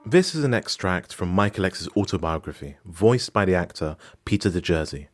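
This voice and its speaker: A man's voice: low-pitched, animated, moderate pace, faint music behind. A man from Morocco hosting a podcast, giving scripted documentary narration in an emphatic, personal storytelling manner. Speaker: podcast host.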